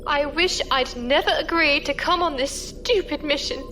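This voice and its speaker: Woman acting as high-voiced, uneasy pirate crew newcomer. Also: normal speed, music in the background, lightly acted. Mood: worried, regretful. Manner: questioning, informal. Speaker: voice actor. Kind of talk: science fiction audio drama dialogue.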